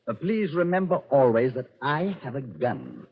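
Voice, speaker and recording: An actor playing a coldly menacing, arrogant colonel, in 1940s radio style, formally polite and sardonic. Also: male voice, medium pitch, huge pitch swings, moderate pace, breathy edge, full character voice. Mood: cold, threatening. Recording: muffled 1940s radio recording with music.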